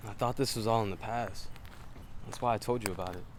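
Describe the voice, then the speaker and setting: A low-pitched American man acting as a cautious, uneasy investigator's sidekick in an audio drama. The recording carries slight background noise. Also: fairly quick, close to an everyday voice. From Los Angeles, United States. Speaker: investigator's companion. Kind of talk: naturalistic audio drama dialogue.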